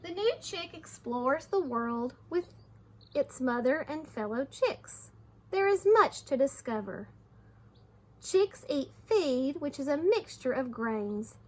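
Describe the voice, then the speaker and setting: A woman teacher reading aloud to young children, expressive, with simple explanations along the way. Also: high-pitched, takes it slowly.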